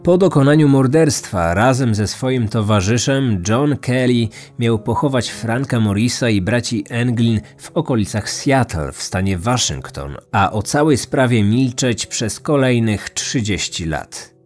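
A man narrating a scripted true-crime podcast as host, in a measured, serious manner. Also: medium pitch, lively intonation, a little mellow, faint music behind.

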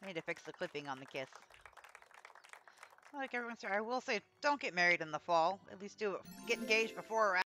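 A woman's voice: mid-range voice, expressive, fast, sharp tone, faint music behind, a faint crowd. A woman giving casual playthrough commentary over a video game. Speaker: gamer commenting on gameplay.

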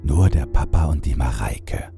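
Very deep, gravelly horror narrator man. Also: expressive, music in the background. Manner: slow, measured, ornate storytelling.

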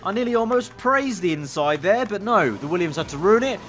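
A young man commentating a racing game, excitable and slightly hoarse, breaking into shouts at crashes. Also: high voice, a little fast, a little hiss or hum. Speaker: gaming YouTuber.

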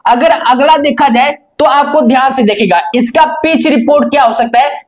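A young man presenting a cricket match preview in a didactic, repetitive way, like a lesson at a board. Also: extremely high pitch. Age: young adult. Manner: didactic, repetitive stock phrases. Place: indoor studio in front of a digital board.

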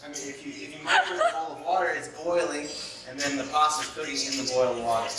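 A man's voice: fairly high pitch, quick pace, crowd noise. Young man in an echoey kitchen, distant. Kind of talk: casual chat. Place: home kitchen indoors. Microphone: camera a few steps away. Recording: very echoey, far from mic, background clatter.

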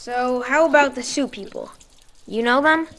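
A woman's voice: high-pitched, normal speed, in a large hall, background noise. An actress playing an earnest, devout boy in a radio drama, plain-spoken, urgent and determined. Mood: wary, searching. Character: earnest, devout boy living rough underground. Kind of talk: post-apocalyptic radio drama acting.

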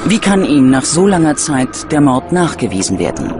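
Animated male true-crime narrator, standard German accent. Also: on the high side, normal speed, heavy background noise. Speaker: documentary narrator.

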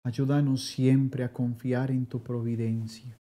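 A man in his thirties, a priest leading Mass and adoration prayers slowly and solemnly in a small chapel. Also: medium pitch, little pitch movement, mellow. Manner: slow, solemn liturgical delivery.

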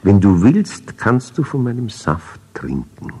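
A man voicing a kindly, calm cactus who looks after others, in a storybook reading. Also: lowish voice, unhurried, husky, voice pushed up, heavily acted. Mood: kind, advising. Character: kindly, generous desert cactus, male, calm helper.

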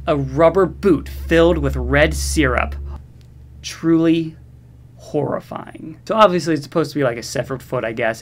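A man giving casual movie review commentary in a rambling, slangy, sarcastic way. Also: mid-range voice. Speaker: video host reviewing horror movies.

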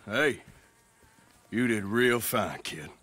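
An actor playing a gruff, wry American outlaw in a Western video game, with a drawl and a dryly sardonic manner. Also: male voice, fairly low pitch, lively intonation, slow pace, noisy background. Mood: reassuring. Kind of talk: dramatic video game acting.

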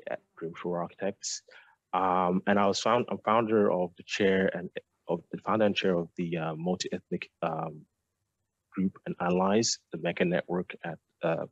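A man's voice: low-pitched, gravelly, dry recording, dull audio. A young man born in Nigeria and raised in London, an architect answering hesitantly over a video call. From Nigeria, raised in South London. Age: young adult. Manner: hesitant, many ums and restarts. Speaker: architect, guest. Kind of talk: remote interview answer. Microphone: headset on video call.

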